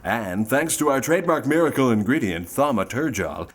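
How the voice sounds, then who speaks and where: A slick old-time variety show pitchman acted by a man delivers smooth, folksy sponsor patter. His pitch is middling but very animated, rising and falling as he sells. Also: normal speed, lightly acted. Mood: slick, enthusiastic. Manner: smooth-talking salesman patter, rhetorical questions. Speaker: show announcer.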